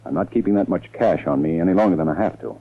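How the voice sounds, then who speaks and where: A man's voice: low voice, quick pace. An actor playing an authoritative, scheming male lawyer in a 1940s radio drama, speaking firmly and insistently. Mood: cold insistence. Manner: firm, insistent, commanding. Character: scheming, authoritative lawyer urging and pressuring others. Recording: muffled, thin 1940s radio recording.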